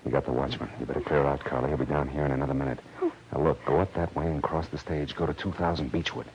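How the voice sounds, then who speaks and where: A man's voice: very deep voice, steady tone, fast, a little hiss or hum. An American man acting a tough, wry private detective in a 1940s radio drama, speaking in a clipped, hard-boiled way. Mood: urgent. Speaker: lead actor and narrator. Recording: muffled old radio recording, loud music.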